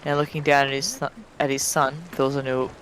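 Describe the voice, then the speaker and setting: A man's voice: mid-range voice, level tone, brisk, fairly bright tone, a little hiss or hum. A man reading fan fiction aloud dramatically as a streamer, dropping into casual chat, tripping on words and swearing offhandedly.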